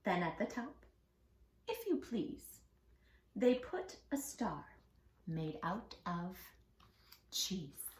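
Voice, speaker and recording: A woman reading a children's story aloud in an expressive, affectionate way, speaking straight to young listeners. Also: mid-range voice, drawn-out words, pauses often, reverberant. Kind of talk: animated children's read-aloud.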